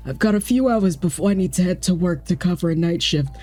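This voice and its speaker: A man voicing an older detective as a hammy mob boss, husky, for a comic story reading. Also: sits high, fast talker, a little mellow, soft background music, lightly acted. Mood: welcoming, hammy.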